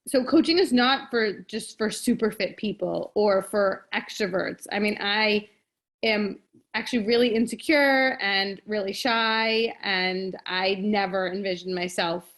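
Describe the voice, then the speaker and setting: A woman reads through slides on a video call and adds her own asides, her pitch sitting in the middle range. She speaks steadily and pauses often on ums. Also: a bit bright. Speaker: fitness coach. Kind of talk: reading slides with commentary.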